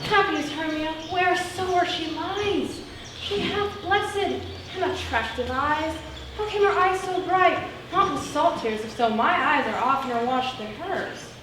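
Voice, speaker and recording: A woman's voice: very high-pitched, a little slow. A woman playing a lovelorn, wounded young maiden, plaintively declaiming Shakespearean stage verse. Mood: weary, self-pitying. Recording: very echoey, distant mic, background noise.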